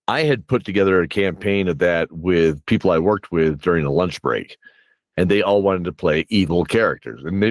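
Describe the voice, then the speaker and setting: A man with a low voice, recorded close and dry, tells stories as a game master in a casual, rambling chat. He keeps slipping into quoted reactions from his players as he goes. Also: no room sound. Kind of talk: casual animated storytelling chat.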